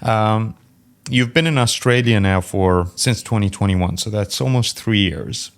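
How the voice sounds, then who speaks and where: A man hosting a podcast, asking relaxed interview questions and prompting his guest with short acknowledgements. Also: on the low side, measured pace. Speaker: podcast host.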